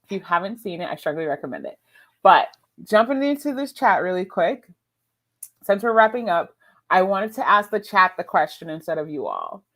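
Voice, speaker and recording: A woman's voice: on the low side, big pitch swings, normal speed, a bit bright. A woman hosting a book readalong livestream in an informal, chatty way, talking to co-hosts and viewers. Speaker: livestream host.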